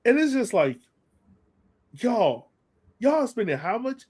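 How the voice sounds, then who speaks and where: A man's voice: very high voice, sing-song, slow, darkish tone, breathy edge. Man in casual, emphatic sports chat. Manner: colloquial, emphatic repetition. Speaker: podcast co-host.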